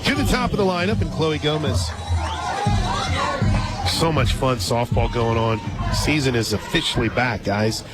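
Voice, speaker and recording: A man calling softball play-by-play live on the radio, mixing clipped pitch calls with casual asides. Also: animated, unhurried, pauses often. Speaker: sports radio play-by-play announcer. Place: radio booth at an outdoor softball stadium. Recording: loud crowd and music behind. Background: loud ballpark crowd and music.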